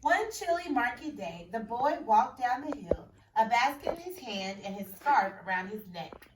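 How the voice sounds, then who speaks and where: A woman's voice: fairly high pitch, distant mic, noisy background. A woman teacher reading a picture book aloud to her class, stopping to ask them questions. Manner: expressive storybook reading with pauses for questions. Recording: echoey room, voice from off-mic.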